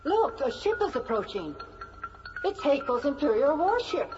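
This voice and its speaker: Earnest alien noblewoman, lively intonation. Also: sits high, normal speed, music in the background. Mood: solemn, earnest. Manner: formal, earnest, precise. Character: solemn noble alien lady of a distant world. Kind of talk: old-time radio drama acting. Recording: dull vintage radio recording.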